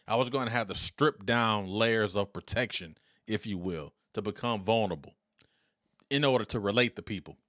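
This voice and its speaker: A middle-aged man hosting his own show with a big, confident voice. He talks in an upbeat, deliberate way and speaks straight into a close dynamic mic. The room adds nothing, so the sound stays dry and close. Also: lowish voice, fairly bright tone, no echo. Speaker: podcast host. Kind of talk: solo podcast monologue. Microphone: dynamic studio mic.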